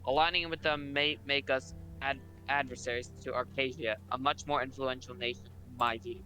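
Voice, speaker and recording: A man plays an argumentative cabinet minister in a political game. The voice is sharp and forward at a middle pitch, reading formal lines a little haltingly over light music. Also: steady tone, close to an everyday voice, rough recording. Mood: argumentative, insistent. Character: insistent male free-market cabinet minister, argumentative. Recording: music behind the voice.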